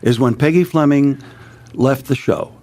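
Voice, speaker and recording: A man in his sixties, a comedian guest, tossing quick jokes and one-liners into the chat. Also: medium pitch, warm tone. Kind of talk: casual talk-show banter.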